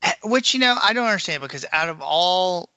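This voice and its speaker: A man's voice: sits high, expressive, fairly quick, sharp tone, rough recording. A man co-hosting a podcast, discussing books in a colloquial, opinionated way. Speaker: podcast co-host.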